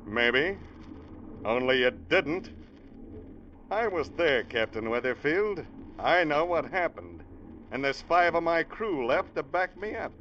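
Cocky, combative seaman, fairly high voice, taunting delivery, 1940s radio acting, faintly noisy recording. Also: expressive, takes it slowly, pauses often, a bit bright. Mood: defiant. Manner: taunting, clipped, tough talk. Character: defiant, sneering, hot-headed ship's first mate. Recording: slightly noisy, dull old radio broadcast.

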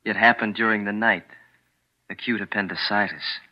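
A man playing a grave, hushed son-in-law. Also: on the low side, fairly even intonation, moderate pace, natural voice. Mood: somber. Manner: hushed, evasive and mournful. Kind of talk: 1940s radio acting. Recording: dull lo-fi radio sound, off-mic.

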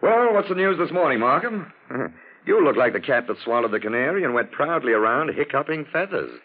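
A man playing an urbane, sardonic gentleman detective with arch, teasing phrasing in a 1940s radio drama. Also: mid-range voice, big pitch swings, a little fast, slightly rough, faint music behind. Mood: wry, teasing. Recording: old lo-fi radio drama recording.

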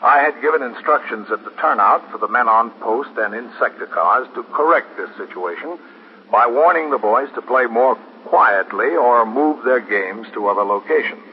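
An actor playing a calm, businesslike New York police captain who narrates a 1950s radio drama in a clipped, matter-of-fact way. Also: male voice, medium pitch, normal speed, close to an everyday voice. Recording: muffled, noisy 1950s radio recording.

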